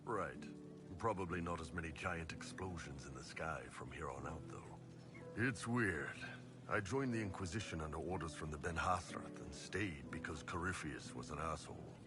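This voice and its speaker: Deep-voiced, blunt, easygoing warrior. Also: male voice, animated, normal speed. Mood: blunt, content. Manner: blunt, casual. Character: big, blunt, easygoing male mercenary warrior. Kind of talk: video game character dialogue. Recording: music under the voice.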